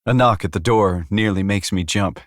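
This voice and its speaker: A man's voice: fairly low pitch, moderate pace, dry recording, clean studio sound. A man reading scripted first-person fantasy fiction as an audiobook narrator.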